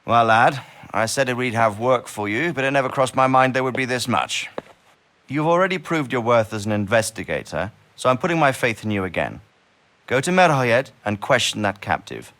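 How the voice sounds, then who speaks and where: An actor playing a steady, fatherly and commanding medieval lord in a dramatic game cutscene. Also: mid-range voice. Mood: trusting, commanding. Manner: measured, authoritative, encouraging.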